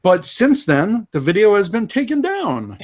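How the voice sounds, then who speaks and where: A man co-hosting a live fan podcast, casually going through toy and movie news with many pauses and fillers. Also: high voice, huge pitch swings. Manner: unhurried, lots of uh fillers. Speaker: podcast co-host.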